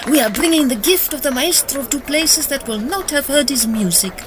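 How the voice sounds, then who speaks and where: A woman's voice: high-pitched, expressive, moderate pace, heavy background noise. A woman playing a vivacious, grand Victorian opera singer in a comic audio drama, exuberant and theatrical. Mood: excited, playful. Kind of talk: period comedy audio drama acting. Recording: busy crowd chatter behind. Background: crowd.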